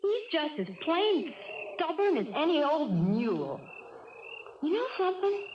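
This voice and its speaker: High-voiced Southern country girl. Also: big pitch swings. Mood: folksy storytelling. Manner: folksy, halting. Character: sweet, hesitant small-town Southern country girl. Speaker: radio drama actor. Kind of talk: 1970s radio drama acting. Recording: dull, noisy 1970s radio recording.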